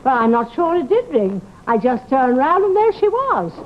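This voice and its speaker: An actress playing a nagging, excitable housekeeper in old-time radio drama, with a scolding, chattery manner. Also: female voice, high voice, normal speed. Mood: flustered. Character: nagging, excitable female housekeeper, outspoken and exasperated. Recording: muffled, noisy old radio recording.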